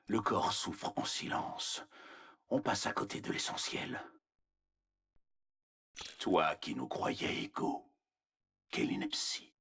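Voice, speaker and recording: An actor playing a cold, arrogant, menacing scientist villain in a video game, speaking in a measured, condescending, clinical manner with a husky voice. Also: male voice, low-pitched, fairly bright tone, heavily acted. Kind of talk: scripted video game character voice lines.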